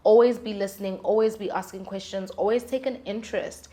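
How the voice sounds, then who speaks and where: A woman talks conversationally, as if advising someone in an interview. Her delivery is candid and emphatic, with a medium-pitched voice and clearly argued points built on examples and questions. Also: steady tone, brisk, dark tone. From South Africa. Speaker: guest, independent singer-songwriter. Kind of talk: conversational interview, giving advice.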